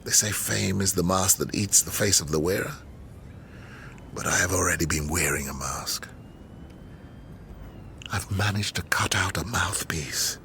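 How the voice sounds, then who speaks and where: A man's voice: low-pitched, measured pace, vocal fry, pauses often, bright, forceful tone, a little hiss or hum, full character voice. A man acting in a radio drama as a proud, embattled middle-aged university lecturer, defensive and remorseful, with an intense, articulate, rhetorical delivery. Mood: remorseful, confessional.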